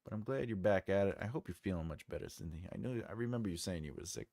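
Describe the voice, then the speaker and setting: A man talks loosely and warmly to his livestream viewers, with a lively, swinging pitch. His speech wanders with fillers and jokes. The sound is dry and close to the mic. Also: fast, vocal fry, no room sound. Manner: rambling, joking, many fillers. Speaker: author hosting a writing livestream. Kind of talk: casual chat reading viewer comments.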